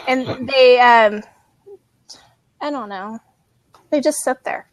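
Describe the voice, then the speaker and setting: A woman's voice: on the high side, slow pace, dry recording, rough recording. A woman hosting a casual live video chat, talking loosely with many ums and frequent laughs. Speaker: host.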